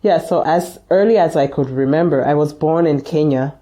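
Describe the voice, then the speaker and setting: A woman's voice: deep voice, moderate pace, dark tone. A woman from Ghana, a podcast guest who talks reflectively and laughs often while recalling things. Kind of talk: casual interview chat.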